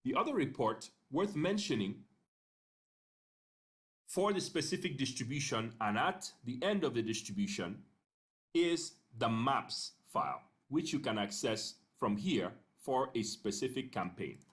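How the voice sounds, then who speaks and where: A man narrating an instructional screencast in a measured, step-by-step way, pausing briefly between phrases. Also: medium pitch, big pitch swings. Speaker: software tutorial narrator.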